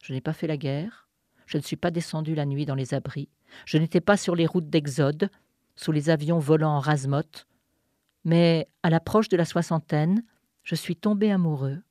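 A woman hosting a radio show, reading a poem aloud in a measured, deliberate way with pauses. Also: deep voice, fairly even intonation, dark tone, no room sound, clean studio sound. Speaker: radio host. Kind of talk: scripted narration and poetry reading.

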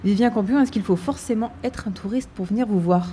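Female radio host, mellow voice, steady announcing, light hiss behind. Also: medium pitch, brisk. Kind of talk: live radio presenting.

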